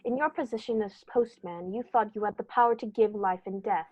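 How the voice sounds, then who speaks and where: A woman acting as a commanding, righteous detective in a vintage-style radio drama. The delivery is formal and accusing, at a middle pitch, on slightly dull lo-fi audio. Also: fairly quick, slight background noise, natural voice. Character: stern, commanding male detective, calm and righteous.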